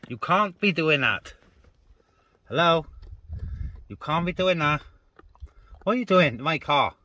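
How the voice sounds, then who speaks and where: A man's voice: fairly high pitch, animated, unhurried, pushed and bright. A man vlogging in a casual, chatty way, talking straight to his viewers. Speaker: vlogger and radio hobbyist. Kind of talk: casual chat to camera. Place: outdoors on moorland and inside a parked car. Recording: clicky with faint background noise.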